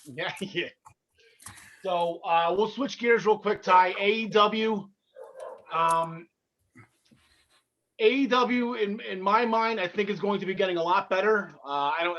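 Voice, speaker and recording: A man with a North Jersey accent rattles through his thoughts on the show at speed, tripping over his words and restarting. His voice sits high and sounds bright and edgy. The recording is muffled and lo-fi. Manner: fast-talking, excitable, stumbling restarts. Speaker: podcast co-host. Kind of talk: casual wrestling chat.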